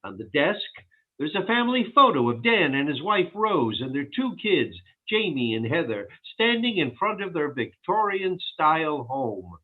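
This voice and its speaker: A man's voice: fairly high pitch, big pitch swings, moderate pace. A man reading stage directions aloud as the narrator of a virtual table read. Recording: tinny phone-like line.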